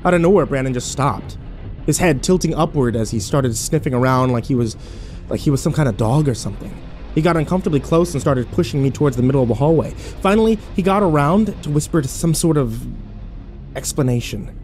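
A man playing an overwhelmed, foul-mouthed young man who narrates his ordeal haltingly in a horror audio drama. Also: mid-range voice, animated, darkish tone, faint music behind, close to an everyday voice. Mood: uneasy. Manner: halting, self-interrupting, swearing, incredulous.

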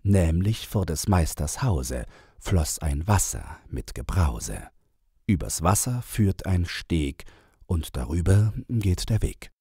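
A man reading a rhymed children's story aloud as an audiobook, in a deliberate, theatrical manner. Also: low voice, very animated, warm tone, slightly rough, no echo, studio quality. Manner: deliberate, theatrical, measured verse delivery. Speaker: audiobook reader.

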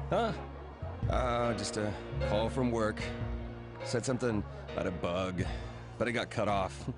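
An actor playing a nervous, evasive man who stammers and hesitates in an animated game cutscene. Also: on the low side, takes it slowly, long pauses. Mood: panicked, evasive. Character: nervous, evasive man cornered by his girlfriend. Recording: loud music under the dialogue.

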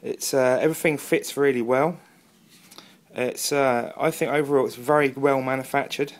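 A man's voice: medium pitch, fairly even intonation, moderate pace. A man with an English accent and a mid-range voice chats informally like a hobbyist making a home video. He tends to ramble and is a little self-deprecating, keeping everything casual. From England. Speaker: amateur radio hobbyist and video reviewer. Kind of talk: casual product review and radio chat. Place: indoors at a wooden table, off camera.

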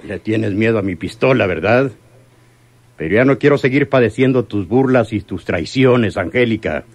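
An actor playing a menacing, lovesick crime boss in a radio drama, speaking deliberately and bitterly. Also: male voice, on the low side, expressive, no echo. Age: older. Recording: noisy old radio drama recording.

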